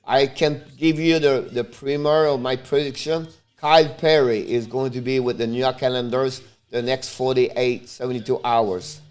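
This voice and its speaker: A man from Montreal whose first language is French, speaking English with a Quebec French accent as he hosts a casual live stream, calling the game and chatting with viewers, with loud sudden outbursts. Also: medium pitch, steady tone, moderate pace. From Montreal, Canada. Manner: talks straight to viewers. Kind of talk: casual live play-by-play and chat.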